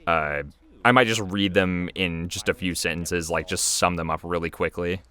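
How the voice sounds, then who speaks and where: A man's voice: low-pitched, fairly even intonation, moderate pace, pushed and bright, smooth. A man giving casual, off-the-cuff commentary as a video creator, chatty and rambling.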